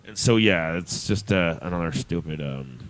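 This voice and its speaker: A man's voice: sits low, expressive, normal speed. An American man hosting an unscripted comedy radio show, bantering in a jokey, exclamatory and self-mocking way. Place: small community radio studio.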